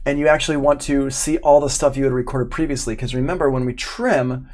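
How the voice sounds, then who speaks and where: Guy teaching audio software on a livestream, middle-aged, chatty, kinda thinks out loud as he clicks around. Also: medium pitch, steady tone, a little fast. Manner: chatty, thinks aloud while demonstrating. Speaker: audio production instructor. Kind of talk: informal live online software lesson. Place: indoor room at home, live stream.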